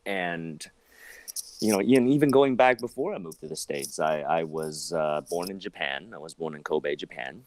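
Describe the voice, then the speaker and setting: A man in his thirties, an actor, chatting as an interview guest, rambling with lots of restarts and sudden jumps in pitch. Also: moderate pace, poor audio. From southern China. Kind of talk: casual interview talk.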